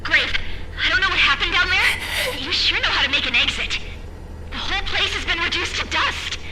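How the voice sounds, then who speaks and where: Super high perky game sidekick girl, really bright, reverby. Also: brisk, heavily acted. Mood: excited, concerned. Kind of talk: video game cutscene acting. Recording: roomy reverb with faint noise.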